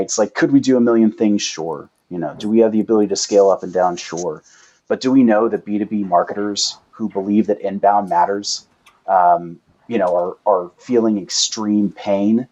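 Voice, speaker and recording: A man's voice: low voice, darkish tone, dull audio. A man answering as a startup founder in an interview, explaining at length and punctuating points with rhetorical questions. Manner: uses rhetorical questions and tag "right?". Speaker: startup founder and chief executive, guest.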